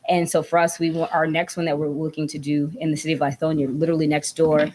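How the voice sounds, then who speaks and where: A woman's voice: deep voice, little pitch movement, quick pace, a little mellow. A young woman from Georgia making an earnest, heartfelt appeal during public comment at a city council meeting, often tagging her points with "right?" and "you know.". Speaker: community speaker addressing city council. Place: council chamber.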